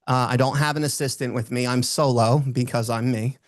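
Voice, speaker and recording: A man with a booming voice speaking as a motivational speaker, telling personal stories and repeating phrases for emphasis. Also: steady tone, fairly quick, no room sound, studio quality. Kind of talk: motivational talk with personal storytelling.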